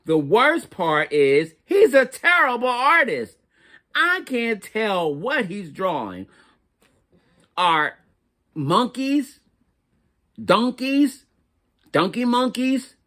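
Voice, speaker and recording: A man's voice: very high voice, big pitch swings, very slow, pauses often, bright, forceful tone, voice pushed up, exaggerated voice. A man voicing a desperate, exasperated chunky crayon in a storybook, melodramatic and pleading.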